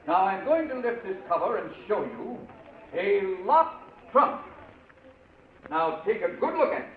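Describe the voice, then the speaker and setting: An actor playing a jovial, showy auctioneer with theatrical, coaxing patter in old-time radio. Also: male voice, sits high, sing-song, unhurried, heavily acted. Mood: teasing salesmanship. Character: showy, jovial charity auctioneer working a crowd. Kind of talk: 1940s radio acting. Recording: tinny, echoey, noisy old radio recording.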